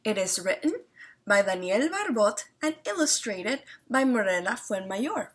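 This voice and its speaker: A young woman reading a picture book aloud to children at home, deliberate and expressive, pausing to ask questions. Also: mid-range voice, a little hiss or hum. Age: young adult. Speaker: read-aloud storyteller. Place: small room at home, indoors.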